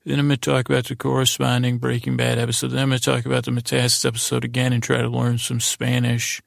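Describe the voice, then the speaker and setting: Male American sleep-podcast host: heavy vocal fry, even low-key intonation, rambling and soothing, stumbling over restarts. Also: no echo, studio quality. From United States. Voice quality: very creaky, gravelly. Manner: meandering, halting, with stammered restarts; lulling, soothing delivery. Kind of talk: rambling bedtime-story podcast intro.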